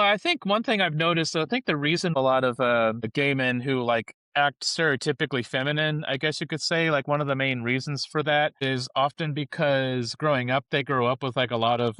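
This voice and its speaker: A man's voice: medium pitch, moderate pace, pushed and bright, smooth, dry recording. A man co-hosting a casual podcast discussion, rambling with lots of fillers and frequent chuckles. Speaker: podcast co-host.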